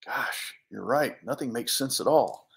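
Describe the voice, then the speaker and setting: A man's voice: medium pitch, a little slow, vocal fry, fairly bright tone, pitched below the performer's own voice. A man voicing a bewildered, easily startled young adventure hero in a children's storybook, stammering out incredulous questions. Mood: puzzled. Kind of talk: animated children's storybook read-aloud.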